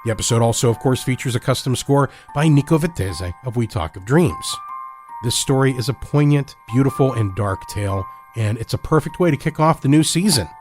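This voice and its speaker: A man hosting and narrating an audio drama, reading a scripted story in a measured, deliberate manner. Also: medium pitch, expressive, a little mellow, faint music behind. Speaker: host and narrator.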